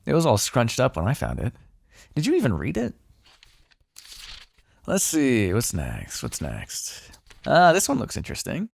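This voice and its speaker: Male vampire, played by a man for ASMR roleplay: sardonic, secretly fond, very animated pitch, creaky low edge. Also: a little slow, long pauses, exaggerated voice. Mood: amused teasing. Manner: sardonic, conversational, teasing, with sighs and swearing. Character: smug, teasing male vampire, wry and grudgingly fond. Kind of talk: intimate ASMR roleplay monologue.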